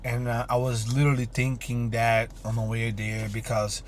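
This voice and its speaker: A man's voice: medium pitch, monotone, normal speed, slight background noise. A man, chatting casually to a phone camera as an entrepreneur vlogger, rambling and upbeat with lots of fillers and restarts. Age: 30s. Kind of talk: casual vlog talk to camera.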